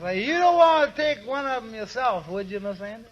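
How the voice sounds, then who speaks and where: A man acting in a 1940s radio comedy as a slow, meek, obliging delivery man, with a hesitant drawl and comic dialect, on an old radio recording. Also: extremely high pitch, lively intonation, muffled recording.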